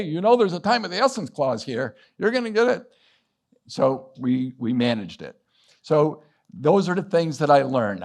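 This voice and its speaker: A middle-aged man from Western Massachusetts giving a storytelling talk at a business summit, acting out bits of dialogue and chuckling as he goes. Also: on the high side. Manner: anecdotal, acts out dialogue, chuckles often. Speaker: business owner speaking at a summit.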